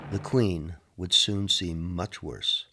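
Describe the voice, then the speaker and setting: A man's voice: deep voice, slow, very noisy. A man reading scripted historical narration as a documentary narrator. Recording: music bed under the voice. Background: music.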